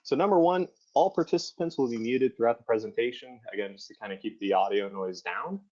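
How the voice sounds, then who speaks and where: Relaxed man hosting a webinar call. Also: medium pitch, brisk. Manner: relaxed, casual, many fillers. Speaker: webinar host. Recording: muffled lo-fi online call audio.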